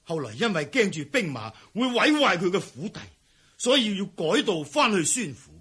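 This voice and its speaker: A man's voice: high voice, sing-song, normal speed, bright, forceful tone, husky, heavily acted. A man playing a cowardly, self-serving fleeing palace eunuch in a historical radio drama, stammering and sighing as he talks. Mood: anxious recounting.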